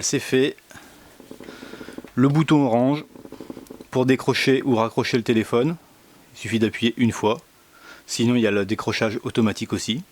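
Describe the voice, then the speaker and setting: A man giving a casual product demonstration indoors, speaking in a hesitant, halting way with many pauses. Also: fairly low pitch. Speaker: product reviewer.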